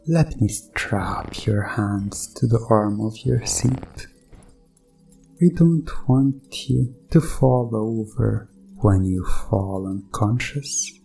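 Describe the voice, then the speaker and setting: Very slow, creaky sinister doctor, acted by a man. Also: mid-range voice, dark tone. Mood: quietly menacing. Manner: slow, soothing, hypnotic, repetitive. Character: sinister male doctor, soothing, calmly menacing. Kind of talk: hypnosis ASMR roleplay.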